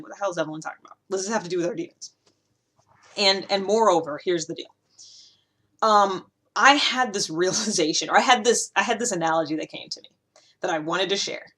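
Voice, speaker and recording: Female host, mid-range voice, casual explainer delivery, animated and emphatic, speaks straight to the viewer. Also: mid-range voice. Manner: animated, conversational, emphatic with asides. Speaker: host of a personality-typing video series. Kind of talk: casual explanatory monologue to camera.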